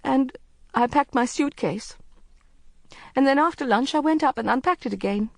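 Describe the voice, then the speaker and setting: A woman playing an overwrought young woman, high and tearful. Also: very animated, moderate pace, no room sound. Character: distressed young woman, emotional and restless.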